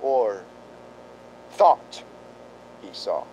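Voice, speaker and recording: Man playing a slow, frail, evasive doctor. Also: sing-song, a little mellow, full character voice, rough recording. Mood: evasive, sorrowful. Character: frail injured male doctor, evasive and apologetic. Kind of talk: radio drama acting. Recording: faint rumbling background noise.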